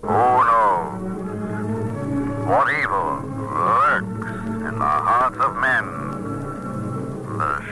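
An actor playing a mocking, menacing invisible avenger in an old radio mystery. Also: male voice, fairly low pitch, lively intonation, pushed and bright, faint music behind, full character voice, rough recording. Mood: menacing, gloating. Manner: very slow, menacing delivery.

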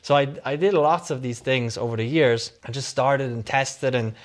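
A middle-aged Swiss man talks as an interview guest, his voice at an ordinary middle pitch. He rambles through his answers, full of fillers, repeated words and quick self-corrections. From Switzerland. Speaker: guest, startup CEO.

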